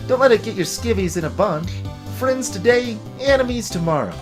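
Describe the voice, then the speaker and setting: Woman playing folksy Southern US woman, game character, sassy, touchy, stubborn, drawling, animated pitch. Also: brisk, over music, natural voice. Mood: sassy, defiant. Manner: sassy, drawling, colorful country sayings.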